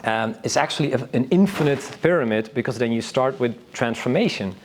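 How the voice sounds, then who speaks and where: A man's voice: medium pitch, animated, brisk, slight background noise. A man from Northern Europe speaking as a panelist in an informal conference talk, enthusiastic and rambling, often restarting his sentences.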